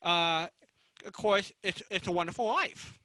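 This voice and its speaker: A man moderating a panel, introducing guests off the cuff in a halting, conversational way. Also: high-pitched, bright, forceful tone, dry recording.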